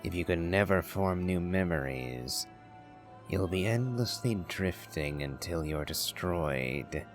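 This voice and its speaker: A deep-voiced man comments on a game as he plays. He speaks slowly and ponders aloud, with faint game music underneath. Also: animated. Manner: musing, thinking aloud. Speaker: game player. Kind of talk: casual commentary while playing a game.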